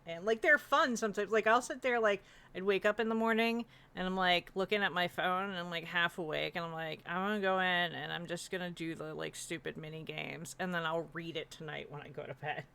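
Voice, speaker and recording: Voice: medium pitch, steady tone, fairly quick, vocal fry, few pauses, sharp tone, smooth. A streamer talking casually and informally on a panel, with some mild swearing. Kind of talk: casual chat. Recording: some background noise.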